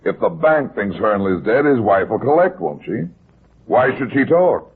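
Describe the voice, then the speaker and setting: A man's voice: medium pitch, big pitch swings, normal speed, breathy edge, full character voice. An actor playing a shrewd, unruffled male private detective in an old-time radio drama, firing terse, probing questions. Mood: calm, calculating. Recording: muffled, tinny old radio recording.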